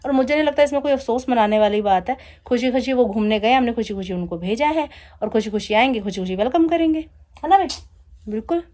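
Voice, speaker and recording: Woman vlogger, thirties, higher voice, chatty home vlog, playful and teasing. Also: lively intonation, quick pace, darkish tone. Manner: chatty and laughing, teasing her husband. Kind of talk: casual vlog chat. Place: home at night, DJ music playing outside.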